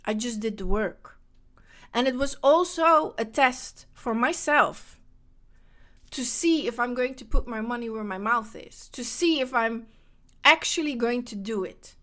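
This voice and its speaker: High-voiced woman, animated monologue. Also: unhurried. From Netherlands. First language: Dutch. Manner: animated, repeats phrases for emphasis. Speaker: podcast host. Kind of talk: casual spiritual monologue.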